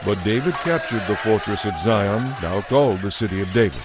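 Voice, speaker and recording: A man narrating scripture from a script in a measured, solemn manner. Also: fairly low pitch, expressive, background noise. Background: soft music.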